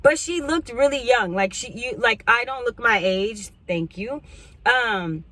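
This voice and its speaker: A woman, chatting casually to camera as a couponing vlogger, rambling through asides and clearing her throat now and then. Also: a little high, animated, a little slow, bright, forceful tone. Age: 40s. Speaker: couponing YouTuber.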